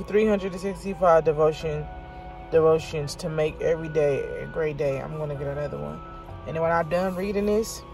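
A woman vlogging from inside her car, reading aloud haltingly and chatting straight to her viewers. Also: deep voice. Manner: halting read-aloud, stumbles and restarts; chatty, talks directly to viewers. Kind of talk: casual vlog, reading aloud.

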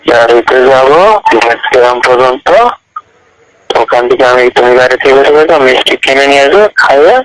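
A man's voice: medium pitch, level tone, fairly quick. A man with a Bangladeshi accent talking privately on the phone, insistent and repeating himself to press his point. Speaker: teacher. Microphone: telephone. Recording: noisy phone call recording with clicks.

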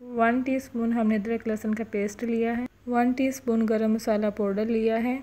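A woman calmly narrating a home cooking recipe step by step as host of her cooking channel. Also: fairly high pitch, normal speed.

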